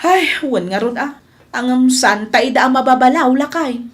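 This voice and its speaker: A woman's voice: high-pitched, normal speed, natural voice. A woman with an Ilocano Filipino accent playing an evasive, complaining village wife and farmhand in a comedy radio drama.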